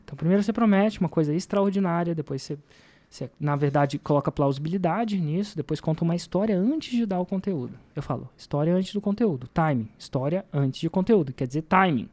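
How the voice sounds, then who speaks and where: A man's voice: on the high side, fast, a little mellow. A man with a Brazilian Portuguese accent hosts a podcast, talking informally and self-deprecatingly, repeating phrases for emphasis. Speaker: podcast host and marketing teacher. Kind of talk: casual podcast talk with anecdotes.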